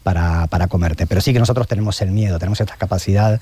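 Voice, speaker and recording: An Argentine man in his fifties, a long-distance swimmer answering interview questions in a thoughtful, measured way, often closing points with a questioning tag. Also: sits low, mellow, husky. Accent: Argentine Spanish.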